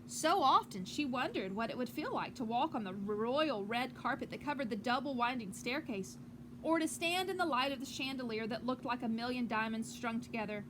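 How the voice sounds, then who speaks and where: A woman teacher reading a picture book aloud to children outdoors on a porch, with gentle, encouraging asides. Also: fairly high pitch, lively intonation, normal speed. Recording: clearly noisy outdoor ambience.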